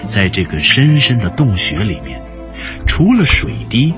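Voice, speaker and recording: A man narrating a scripted story with deliberate, dramatic phrasing, as in a radio drama. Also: fairly low pitch, wildly swooping pitch, dull audio. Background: music.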